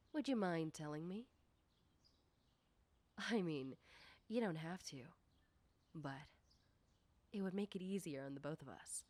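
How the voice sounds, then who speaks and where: Popular high school girl, nosy and teasing, played by a woman. Slow, lowish, soft ASMR voice, coy and probing. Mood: teasing, curious. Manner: coy, probing, deliberate, often dropping into whispers. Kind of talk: ASMR roleplay, soft personal-attention talk.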